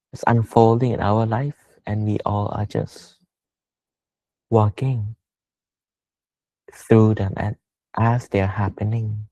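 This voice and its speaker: A man teaching a guided Buddhist meditation, speaking slowly and measuredly in short phrases with pauses. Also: lowish voice, darkish tone, dry recording, low-quality recording. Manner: slow, measured, pausing between short phrases.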